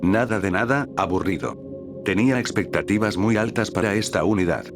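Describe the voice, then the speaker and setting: A man with a very low, deep voice narrates horror stories in a steady, measured way. He speaks close to the mic as a voice-over. Music plays behind him the whole time. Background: background music.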